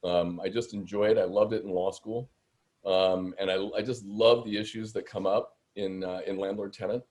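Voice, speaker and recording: A man's voice: on the low side, fairly even intonation, normal speed, darkish tone. A man in his fifties from the Seattle area, a landlord attorney answering questions on a video-call webinar in a chatty, self-deprecating way. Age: 50s. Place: home room indoors, video call.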